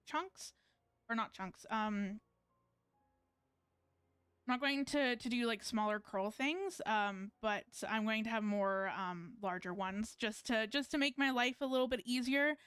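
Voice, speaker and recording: A woman's voice: medium pitch, steady tone, a little slow, fairly bright tone, clean tone, dry recording. A woman chatting casually on a game livestream in a rambling, self-correcting way. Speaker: VTuber game streamer. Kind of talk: casual livestream chat while gaming.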